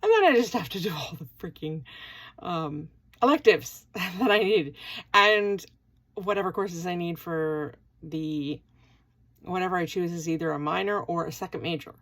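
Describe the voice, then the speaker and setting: A woman's voice: on the low side, lively intonation, moderate pace, a bit bright. A woman in her forties from Canada, talking casually to camera in a rambling vlog with plenty of ums and restarts. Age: 40s. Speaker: vlogger, part-time university student.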